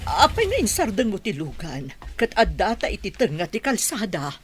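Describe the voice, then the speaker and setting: A woman's voice: medium pitch, very animated, normal speed, faint music behind, close to an everyday voice. A woman playing an anxious married madam and worried mother in an Ilocano radio drama. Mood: flustered.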